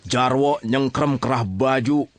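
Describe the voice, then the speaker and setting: A man's voice: medium pitch, fairly even intonation, measured pace, a little hiss or hum. A man narrating a radio drama as a colloquial storyteller, chatty, theatrical and teasing.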